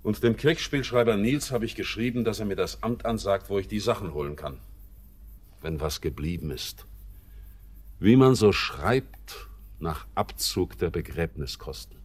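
An actor playing a bitter, brooding young sailor back home, in a classic radio play. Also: male voice, on the low side, slightly rough. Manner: slow, somber, bitter and brooding.

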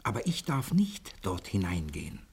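Friendly roguish sailor, very husky, lively swooping pitch, coaxing, simple hesitant phrases, TV drama. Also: male voice, normal speed, heavily acted. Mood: secretive, hesitant. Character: friendly, roguish male sailor on a secret errand. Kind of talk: German television drama dialogue.

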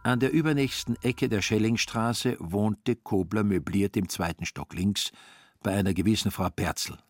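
A man with a husky voice narrating a literary radio play in a wry, dry manner. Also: on the low side, normal speed, dry recording.

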